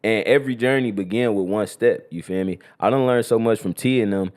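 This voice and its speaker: A man's voice: fairly low pitch, fairly quick, a little mellow. A young man from Columbus, Ohio, co-hosting a podcast in a loose, slangy, casual chat. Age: young adult. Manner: loose, slangy, frequent "you feel me". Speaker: podcast co-host.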